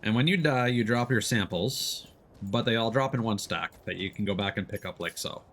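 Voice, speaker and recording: A man's voice: fairly low pitch, moderate pace, a bit bright, slight background noise. A man streaming a video game, explaining it casually as he plays in an easygoing way. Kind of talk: casual gameplay commentary, explaining as they play. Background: video game sound.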